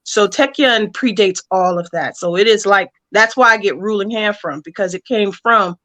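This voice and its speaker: A woman with a bright, forward voice at an average pitch talks as a guest creator in an interview. Her explanations are conversational and measured, set out phrase by phrase with pauses.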